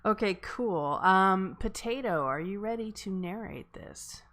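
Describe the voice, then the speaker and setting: A woman's voice: medium pitch, animated, a little slow, fairly bright tone. A woman hosting a live table read, chatting casually and making announcements. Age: adult.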